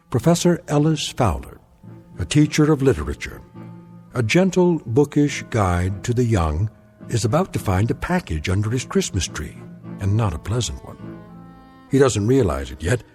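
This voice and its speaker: A man narrating an eerie radio drama anthology in a deliberate, ominous, scripted style. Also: medium pitch, very animated, a little mellow, soft background music, lightly acted. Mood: ominous, measured. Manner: deliberate, ominous, measured phrasing. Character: solemn male narrator of eerie anthology tales.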